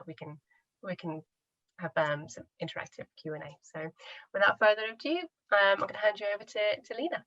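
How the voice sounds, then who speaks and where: A woman chairs an online conference session in a casual way, with fillers and restarts. Her voice has a mid pitch and sounds dry and a little dull, as on a call. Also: fairly bright tone, no echo. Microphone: video call.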